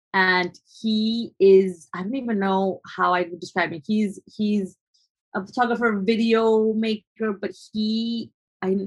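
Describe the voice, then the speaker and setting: A woman's voice: medium pitch, dull audio. A young adult woman photographer from Colombia, answering interview questions in a thoughtful, hesitant way with frequent restarts.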